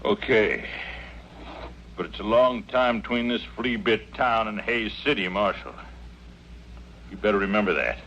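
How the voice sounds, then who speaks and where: A defiant outlaw with a low, very animated western American voice. He sneers and threatens in a muffled old radio western. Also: measured pace, long pauses. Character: surly, menacing male outlaw prisoner, defiant and taunting. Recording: muffled old radio recording, clear hiss.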